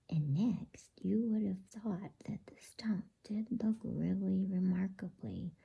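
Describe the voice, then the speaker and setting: A woman whispers a storybook in ASMR style, very breathy and low in pitch. Her delivery is hushed and slow, as a bedtime reading. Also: lo-fi sound. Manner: soft, unhurried, hushed.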